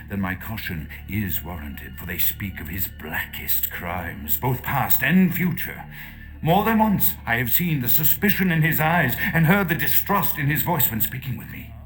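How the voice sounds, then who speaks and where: A man's voice: fairly low pitch, fairly bright tone. An actor playing a paranoid, fearful male vampire who dictates his journal in formal, ornate language for a game. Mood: fearful, agitated. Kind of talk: dramatic recorded-diary monologue. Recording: music behind the voice.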